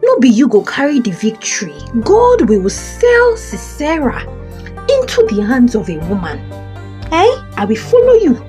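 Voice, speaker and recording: A commanding, motherly prophetess with a Nigerian accent , played by a woman. Her voice sits high and swoops with lively emphasis, often tagging lines with a pressing question. The style is that of an audio Bible play. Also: unhurried, faint music behind, exaggerated voice. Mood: firm, reassuring. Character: confident, commanding prophetess Deborah, motherly and firm.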